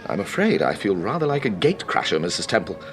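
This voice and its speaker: An actor playing an ingratiating, awkwardly apologetic gentleman in a radio drama, hesitant and over-polite. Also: fairly low pitch, big pitch swings, quick pace, a little hiss or hum. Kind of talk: British radio drama acting.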